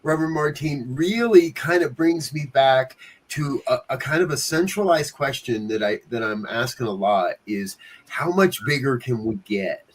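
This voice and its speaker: A man's voice: medium pitch, expressive, moderate pace, slight background noise. Rambling male talk show host. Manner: warm, rambling questions with fillers. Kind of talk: casual interview chat.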